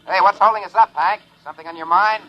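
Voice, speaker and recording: A man's voice: sits high, steady tone, fast talker, lo-fi sound, lightly acted. An American man playing a cocky, daring test pilot in a 1950s radio drama, giving breezy, clipped reports. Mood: businesslike, confident. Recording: old 1950s radio broadcast recording.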